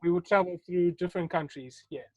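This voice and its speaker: A woman's voice: lowish voice, a little fast, warm tone, no echo, dull audio. A woman from Zimbabwe with a Zimbabwean accent, answering casually and hesitantly as an interview guest, repeating phrases.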